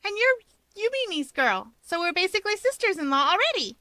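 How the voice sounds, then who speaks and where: A woman's voice: extremely high pitch, sing-song, a little fast, bright, forceful tone, no room sound, voice pushed up, heavily acted. A woman voicing a cheeky, dramatic young mouse woman, playful, wordy and teasing, in a story reading. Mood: admiring.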